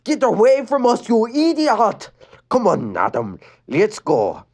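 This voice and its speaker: A man's voice: high voice, animated, unhurried, pitched above the performer's own voice. A man reading a fan story, voicing a mean, sneering teenage girl in a mock German accent. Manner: sneering, condescending.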